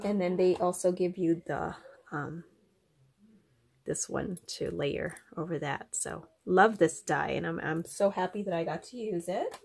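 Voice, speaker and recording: Slow-talking woman with a nasal, stuffy voice. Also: lowish voice, vocal fry, husky. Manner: rambling, many ums, warm and chatty to viewers. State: stuffy from allergies. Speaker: craft vlogger. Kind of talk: casual show-and-tell chat.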